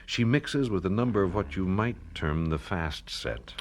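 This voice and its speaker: An actor playing a worried, wealthy landowner in a 1970s radio drama, speaking in a hesitant, measured, troubled way. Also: male voice, low-pitched, expressive, slight background noise. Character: weary wealthy landowner, worried father of a kidnapped family.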